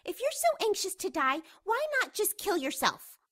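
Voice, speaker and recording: High, bright, frightened young woman acted. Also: very animated, moderate pace, full character voice. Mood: defiant. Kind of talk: radio drama acting.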